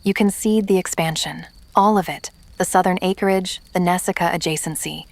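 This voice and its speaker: An actress playing a steely negotiator in an audio drama, laying down terms in a clipped, firm, formal manner. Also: female voice, lowish voice, normal speed, no echo, close to an everyday voice. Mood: unyielding.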